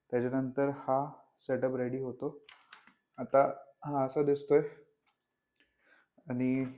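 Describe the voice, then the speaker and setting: Even-toned man. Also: medium pitch, lo-fi sound. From Pune, Maharashtra, India. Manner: informal, mixes English words into Marathi. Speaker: vlogger, product reviewer. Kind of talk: casual unboxing and review vlog.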